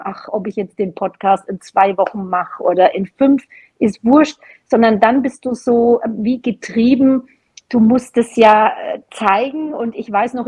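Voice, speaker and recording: A woman's voice: mid-range voice, a little mellow, breathy edge, low-quality recording. A woman in her fifties with a Franconian accent, chatting casually as a podcast guest over a video call. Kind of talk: casual chat. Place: small bright room at a dining table. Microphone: earbuds on a video call.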